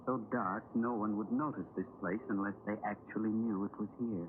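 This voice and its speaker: An actor playing an eccentric, menacingly polite male stranger in an old radio thriller, speaking in a pedantic, deliberate way. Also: lowish voice, steady tone, natural voice. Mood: menacing calm. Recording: tinny, noisy old radio broadcast.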